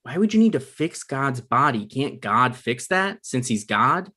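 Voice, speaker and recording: A man playing a deadpan, argumentative adult son and aspiring writer in a comedy script read. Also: medium pitch, measured pace, full character voice. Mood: deadpan correcting.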